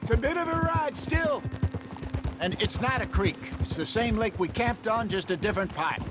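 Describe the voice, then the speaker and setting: An actor playing a genial, dry-witted old outlaw in a video game, talking in an easygoing way. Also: male voice, sits high, lively intonation, normal speed. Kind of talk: naturalistic video game acting. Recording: very noisy outdoor game ambience, horse hooves.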